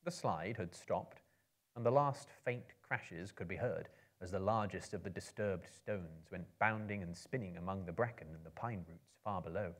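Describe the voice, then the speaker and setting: A man in his thirties giving a theatrical, measured dramatic reading of a fantasy story. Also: sits low. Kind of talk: dramatic reading of a fantasy novel to a live audience. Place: book-lined room with a live audience.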